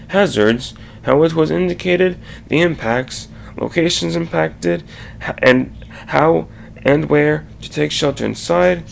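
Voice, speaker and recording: Gravelly man presenting, halting delivery. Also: mid-range voice, a little hiss or hum. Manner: halting, stumbles and restarts mid-sentence. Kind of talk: informal slide presentation, explanatory.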